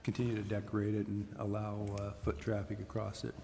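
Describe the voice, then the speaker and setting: Flat, mellow man in a reverberant hall. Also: fairly low pitch, quick pace, gravelly. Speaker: city official. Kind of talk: explanatory remarks in a public meeting. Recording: echoey hall with long reverb, faint noise.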